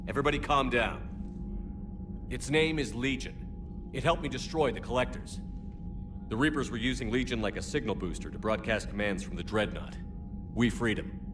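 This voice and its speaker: Casting: male actor as resolute space commander, medium-pitched, direct, slightly off-mic with music behind. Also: moderate pace, long pauses, far from the mic, close to an everyday voice. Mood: firm. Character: firm, principled male human military commander. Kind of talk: video game acting.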